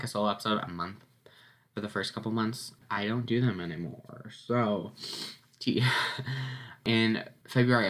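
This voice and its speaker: A man's voice: fairly low pitch, fairly bright tone. A teenage boy hosting his own podcast, chatting casually and upbeat with lots of ums and little laughs, sounding a bit congested and sniffly. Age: teens. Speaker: podcast host. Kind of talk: casual solo podcast recap.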